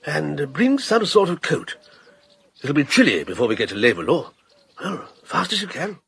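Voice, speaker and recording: Persuasive banker, husky and gravelly, lively pitch, dry sound. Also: male voice, normal speed, full character voice. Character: middle-aged male banker, smooth and persuasive. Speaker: narrator and character. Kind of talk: radio drama.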